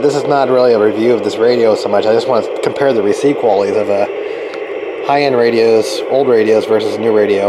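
A man giving a casual, unscripted hands-on demo as a hobby repair channel host, haltingly thinking aloud and repeating phrases. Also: fairly low pitch. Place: cluttered garage workshop with open door. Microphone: handheld camera microphone. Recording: noisy handheld camera in a garage. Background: ambient noise.

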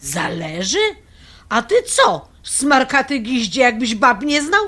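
A manipulative mother in an audio drama, her voice pitched high. She wheedles and scolds with theatrical flair, every line pushed toward melodrama. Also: big pitch swings, takes it slowly, a bit bright, exaggerated voice. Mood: scolding, evasive.

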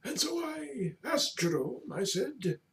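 A whimsical, very old gentleman tells his tales with grand, halting drama. The pitch is extremely high and swings widely up and down. He is heard at a distance from a webcam microphone in a home room, like a comedy web storyteller. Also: sing-song, vocal fry, a little hiss or hum, full character voice. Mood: welcoming, theatrical. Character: whimsical, doddering, very old male storyteller, theatrical. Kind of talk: comedic in-character storytelling to camera. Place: small home room, indoors. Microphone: webcam at desk distance.

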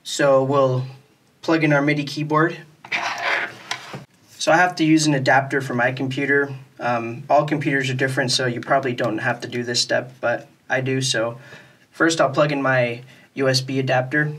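Guy doing a casual how-to, plain step by step explaining, medium pitched voice. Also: fairly even intonation, normal speed, a bit bright. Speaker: music tutorial host. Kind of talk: casual step-by-step tutorial narration.

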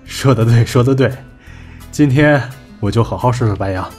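An actor in a Chinese audio drama playing a sharp-tongued entertainment boss, blunt, scolding and colloquial. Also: male voice, medium pitch, normal speed, soft background music, natural voice. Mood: businesslike.

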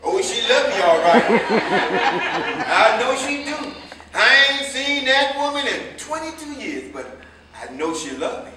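A man's voice: very high-pitched, sing-song, takes it slowly, heavily acted. An American man acting a sentimental, pleading drunkard who rambles emotionally in a staged play reading. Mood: gleeful. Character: maudlin, pleading drunkard, sentimental jitney driver. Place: indoor staged reading, recorded from afar. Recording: echoey, noisy stage from far off.

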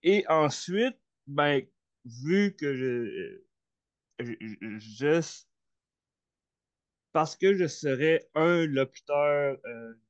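A man asking a question on a video call, halting and full of fillers and restarts. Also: mid-range voice. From Canada. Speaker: audience member asking a question. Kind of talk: hesitant spontaneous question. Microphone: computer mic on a video call. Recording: slightly dull video-call audio.

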